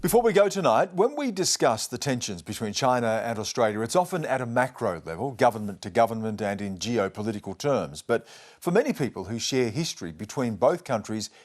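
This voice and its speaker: A male news host with a lively, shifting pitch puts probing questions to his guest, then turns to presenting. He sounds like a practised broadcast interviewer. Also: brisk, hardly any pauses.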